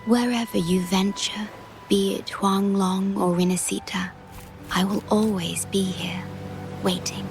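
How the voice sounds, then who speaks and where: An actress playing a serene, devoted guardian woman in a video game, speaking softly and solemnly. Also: medium pitch, steady tone, unhurried, lightly acted. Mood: tender, solemn. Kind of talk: scripted game character acting. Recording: music behind the voice.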